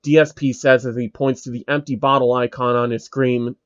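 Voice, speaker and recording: A man's voice: medium pitch, little pitch movement, a little fast, no room sound, dull audio. A man reading a scripted story aloud as narrator, with occasional asides of his own.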